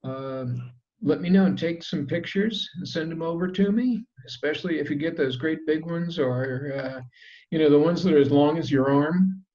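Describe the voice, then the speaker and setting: Man, slightly higher voice, easygoing host on a video call, dull lo-fi audio. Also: moderate pace. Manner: relaxed, chatty, many fillers. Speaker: meeting host. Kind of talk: casual meeting wrap-up.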